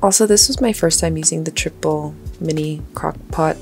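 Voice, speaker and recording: A young woman narrates her cooking in an easygoing, chatty way, full of little "likes" and asides. Her voice sits low with a gravelly, fried edge. Light music plays faintly underneath. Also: normal speed. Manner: relaxed, chatty, trailing off with likes. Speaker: vlogger. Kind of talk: casual meal prep voice-over. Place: home kitchen.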